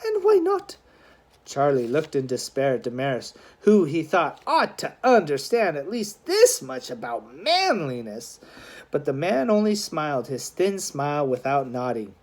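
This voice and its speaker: A woman reading a children's historical novel aloud as expressive scripted narration. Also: sits low, unhurried. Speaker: audiobook reader.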